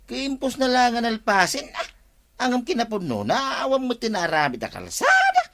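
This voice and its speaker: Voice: medium pitch, wildly swooping pitch, moderate pace, fairly bright tone. A performer with an Ilocano accent playing a blunt, quarrelsome villager in a radio comedy drama, exclamatory and confrontational. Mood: scornful.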